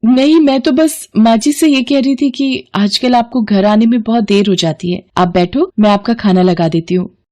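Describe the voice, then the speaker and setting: A woman voicing a gentle, dutiful young wife in a storybook drama, speaking politely and earnestly. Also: fairly high pitch, moderate pace, close to an everyday voice.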